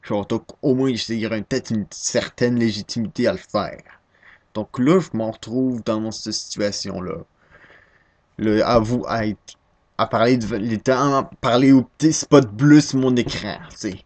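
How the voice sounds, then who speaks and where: A man's voice: fairly low pitch, normal speed, darkish tone. A nineteen-year-old man with a Québécois accent talking into his webcam at home, hesitant and self-deprecating, stumbling and restarting often. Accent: Québécois French. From Quebec, Canada. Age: 19, young adult. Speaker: first-time vlogger. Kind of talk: casual rambling webcam talk.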